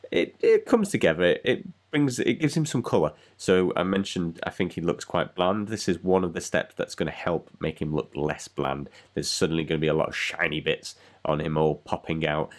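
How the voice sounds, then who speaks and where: A man with a cold, rambling casually as he talks through painting a miniature. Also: a bass voice. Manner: rambling, self-deprecating, chatty. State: congested with a cold. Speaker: miniature painting channel host. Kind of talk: casual painting tutorial voice-over.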